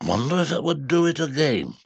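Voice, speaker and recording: A man voicing a simple, kindly male bear who talks in a soft, bumbling, hesitant way. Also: fairly high pitch, big pitch swings, brisk, gravelly, slightly rough, dry recording, voice pushed up, full character voice. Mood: earnest.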